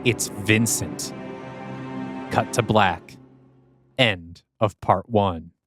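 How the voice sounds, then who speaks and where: A man's voice: fairly low pitch, drawn-out words, over loud music. A man co-hosting a podcast, moving between reading a screenplay aloud and talking about it. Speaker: podcast co-host. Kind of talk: scripted screenplay narration and casual creative discussion.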